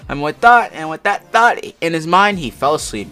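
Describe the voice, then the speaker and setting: A man's voice: fairly high pitch, very animated, normal speed, pushed and bright. Sweary man reading aloud over music. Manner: sweary, mocking commentary, stumbling over the text. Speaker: amateur fan fiction reader. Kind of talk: casual read-aloud of a story with asides. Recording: music playing behind the voice. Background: background music.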